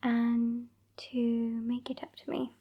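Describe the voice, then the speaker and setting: A woman acts out a haughty British vampire mistress for an ASMR roleplay. Her voice is high yet soft and breathy, mostly whispered. She draws out her words very slowly, with withering impatience and condescension. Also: completely flat delivery, dull audio. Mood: demanding. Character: sassy, haughty, impatient female vampire scolding her weakling fledgling.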